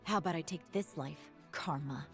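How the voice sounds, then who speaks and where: A stern young female ninja assassin from a video game. Her voice is low, curt and deadpan, with a confident, cutting edge. Faint music sits behind her. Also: moderate pace, vocal fry. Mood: menacing, confident. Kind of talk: video game champion voice lines.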